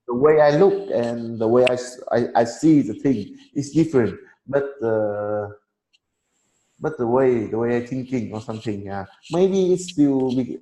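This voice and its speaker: A man in his thirties, a Vietnamese native speaker, chatting casually in halting English and laughing often. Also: mid-range voice, a little mellow. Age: 30s. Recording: echoey room, faint noise.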